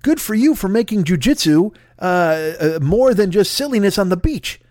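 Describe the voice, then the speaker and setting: A man's voice: high voice, animated, moderate pace, a little mellow, dry recording, clean studio sound. A man hosting a podcast, delivering a profane, sarcastic comedic rant. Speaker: podcast host.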